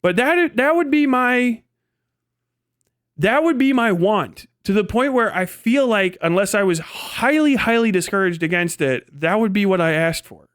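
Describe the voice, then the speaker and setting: A man talks to his livestream in a fairly high, very clear voice. He thinks out loud in a casual, animated ramble and curses freely. The sound is close and dry, with little room in it. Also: moderate pace, dry recording. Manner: rambling, animated, frequently swearing. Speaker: livestream host.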